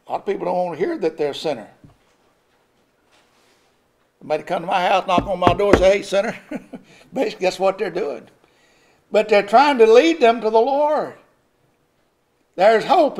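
High-voiced older male pastor preaching. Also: lively intonation, moderate pace, pauses often, a little mellow. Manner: preaching with rising emphasis and occasional chuckles. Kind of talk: sermon. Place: church sanctuary at a pulpit. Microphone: pulpit microphone.

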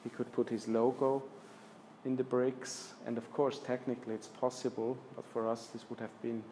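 A man in his fifties presenting slides in a lecture room, speaking with many restarts and fillers, trailing off softly at the ends of phrases. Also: medium pitch, level tone, normal speed, slight background noise, rough recording. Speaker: architecture lecturer. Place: lecture room with projector screen.

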